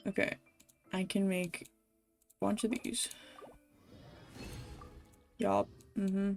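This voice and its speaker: A woman's voice: medium pitch, little pitch movement, takes it slowly, creaky, a little mellow, soft background music. A woman game streamer chatting casually to viewers as she plays. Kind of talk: casual livestream chat while playing a game.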